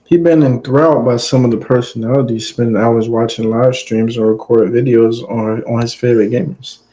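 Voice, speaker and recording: Chill guy, warm mellow tone, reads a story then jokes around with chat. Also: mid-range voice, steady tone, normal speed, poor audio. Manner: loose, joking asides to chat. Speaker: streamer reading stories aloud. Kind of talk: casual commentary between read passages.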